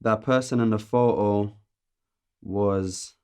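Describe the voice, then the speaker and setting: A man in his late twenties, originally from Azerbaijan, chatting casually as a podcast guest, laid-back and laughing often, with many pauses and ums. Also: on the low side, fairly even intonation, darkish tone, smooth. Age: 20s. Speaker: podcast guest, music producer. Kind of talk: casual interview chat.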